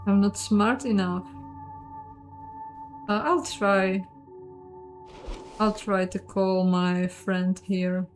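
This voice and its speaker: A woman's voice: mid-range voice, steady tone, drawn-out words, long pauses. A woman giving casual, chatty let's play commentary, thinking aloud in a self-deprecating way. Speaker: host of a game playthrough, voicing the dialogue. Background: game music.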